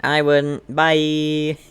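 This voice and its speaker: A man's voice: fairly high pitch, a little hiss or hum. A man giving casual game stream commentary in a playful, silly, muttering way.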